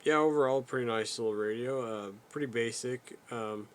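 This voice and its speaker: A man giving a casual, unscripted product walkthrough as a video host, talking in a halting, low-key, rambling way. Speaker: radio reviewer, video host.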